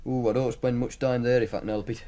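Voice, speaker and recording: An actor playing a cocky young student with a Northern English accent, wry and casual, in naturalistic radio drama. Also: male voice, mid-range voice, level tone, fast talker, warm tone, close to an everyday voice. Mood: guarded, wry. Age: young adult. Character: Mark, cocky young student with a betting knack.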